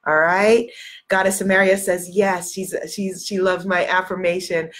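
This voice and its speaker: A woman's voice: fairly low pitch, fairly even intonation, normal speed, a bit bright. A woman hosting a live stream as a spiritual coach, reading out viewer comments and leading affirmations while sniffling from a cold. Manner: encouraging, repeats affirmations, reads out viewer comments. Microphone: live video stream.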